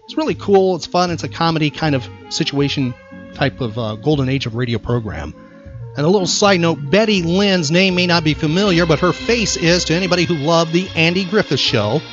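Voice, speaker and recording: A man's voice: fairly high pitch, animated, fairly quick, a bit bright. A man hosting a podcast, switching between quiz questions and casual chat. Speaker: podcast host. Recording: slightly dull audio, music behind. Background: background music.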